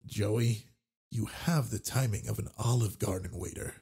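A man playing a flirtatious, confident werewolf alpha with a husky, gravelly voice in an intimate roleplay audio drama, teasing and tender toward the listener. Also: lowish voice, measured pace, warm tone, exaggerated voice. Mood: exasperated.

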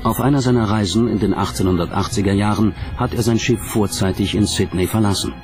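A man reading scripted documentary narration in a measured, dramatic manner. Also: lowish voice, a little mellow. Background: music.

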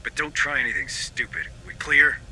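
An actor playing a gruff, embittered marine leader in a video game, curt and commanding. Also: male voice, mid-range voice, expressive, normal speed, pushed and bright, a little hiss or hum, rough recording. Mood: wary, hostile. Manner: curt, hard-edged, commanding. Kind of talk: cinematic game acting.

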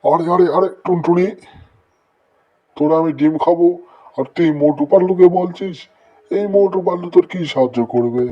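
A man's voice: sits high, normal speed, pauses often, muffled recording. A man voicing a bullying, hungry witch in a cartoon, scolding and menacing. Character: bullying, hungry witch who preys on bird eggs. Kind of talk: cartoon dialogue.

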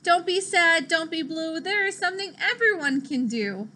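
A woman's voice: very high-pitched, expressive, moderate pace, pushed and bright, pitched above the performer's own voice, exaggerated voice. A woman voicing a kind, upbeat young male cat from a storybook in an encouraging, sing-song way. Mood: consoling.

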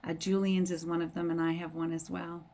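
A woman's voice: fairly low pitch, flat delivery, a little fast, warm tone. A woman hosting a casual online group discussion on a video call, as a course teacher.

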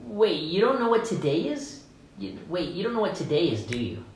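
A man's voice: sits high, very animated, moderate pace. A man voicing a blunt, incredulous carpenter in a comic, exaggerated amateur table read. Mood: needling. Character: blunt, indignant townsman carpenter with goofy exasperation. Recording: roomy echo, faint background noise.

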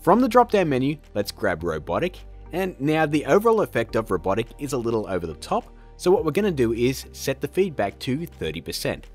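A man hosting a casual software tutorial, upbeat and playful with joking asides. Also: medium pitch, huge pitch swings, brisk, slightly rough, soft background music. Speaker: tutorial host.

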